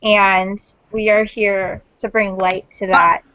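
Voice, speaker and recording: A woman in her twenties hosting a casual group chat, explaining things in a measured way with small restarts. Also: mid-range voice, flat delivery, noisy background. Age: 20s. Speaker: host of a panel discussion. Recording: dull, dry lo-fi sound.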